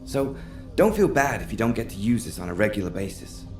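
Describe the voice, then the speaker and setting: An earnest engineer for a video game, friendly and keen to explain. His mid-pitched voice rings in a roomy space with light music behind it. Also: sing-song, a little fast. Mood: reassuring. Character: helpful, earnest male tech engineer, easily tempted by cookies.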